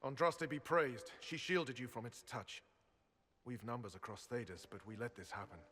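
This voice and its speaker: A man's voice: medium pitch, normal speed, fairly bright tone, slightly rough. A man voicing a stern, duty-bound templar commander who speaks formally in a video game. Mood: grave. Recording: game audio with long reverb.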